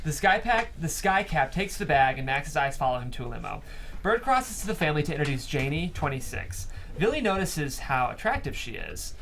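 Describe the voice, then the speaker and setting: A man narrating stage directions in an animated way at a script table read. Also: a little high, a little fast. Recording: room recording with faint noise.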